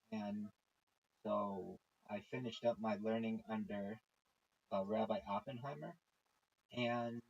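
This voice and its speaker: Slow, husky-voiced man. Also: fairly low pitch, dull audio. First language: not Hebrew. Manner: halting, with many pauses and fillers. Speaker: rabbi. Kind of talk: casual chat while playing golf.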